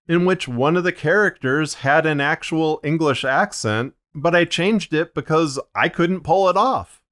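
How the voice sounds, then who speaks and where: A man with a slightly muddied voice of limited range, narrating an explanatory video in a measured, deliberate, plain-spoken way as a podcast host. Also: fairly high pitch, a bit bright.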